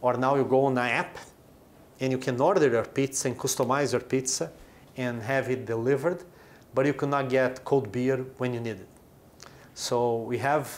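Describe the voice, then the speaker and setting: A man's voice: medium pitch, steady tone, normal speed. Brazilian man explaining business plainly. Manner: explains with examples, short emphatic phrases. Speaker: chief executive of a brewing company. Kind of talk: business interview.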